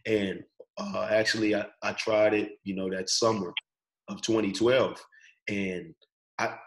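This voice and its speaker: Young Bahamian male guest, low-pitched, casual video-call interview, relaxed delivery. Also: a little slow, low-quality recording. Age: young adult. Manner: relaxed, laid-back, sprinkles "bro" and "you know". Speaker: student athlete guest. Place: small indoor room. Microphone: webcam on video call.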